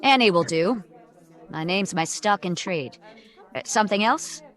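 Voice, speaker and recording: A woman's voice: medium pitch, animated, measured pace, a bit bright. Brusque, tough health club boss played by a woman. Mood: brisk, businesslike. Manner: brusque, no-nonsense. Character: tough, burly female health club owner, ex-wrestler, brusque. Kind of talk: hard-boiled detective audio drama.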